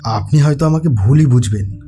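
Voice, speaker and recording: Man acting Bimal, a mellow-voiced, deferential assistant. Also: medium pitch, normal speed, soft background music, lightly acted. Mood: uneasy, hesitant. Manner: hesitant, respectful. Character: Bimal, deferential male renovation supervisor, hesitant, uneasy. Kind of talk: Bengali horror cartoon dialogue.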